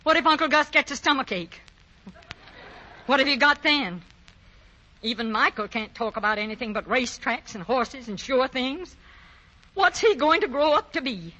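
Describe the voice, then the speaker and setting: No-nonsense mom in old radio play, high bright voice, emphatic. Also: female voice, expressive, normal speed, pauses often, exaggerated voice, rough recording. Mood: stern. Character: strong, firm middle-aged mother of grown sons. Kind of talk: 1940s radio acting.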